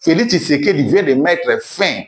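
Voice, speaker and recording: A man's voice: on the high side, lively intonation, moderate pace. Congolese man, declamatory show host. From Democratic Republic of the Congo. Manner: declamatory, emphatic repetition. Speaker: online show host, commentator. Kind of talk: animated political commentary to camera, mixing French and Lingala.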